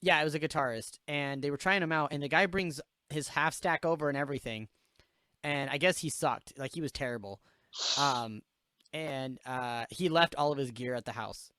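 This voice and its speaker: A man's voice: on the high side, normal speed, bright, forceful tone, clear, resonant tone, dry recording, studio quality. A man in his late twenties hosting a podcast, telling loose stories in casual chat, swearing freely and laughing at his own jokes. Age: late 20s. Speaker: podcast host.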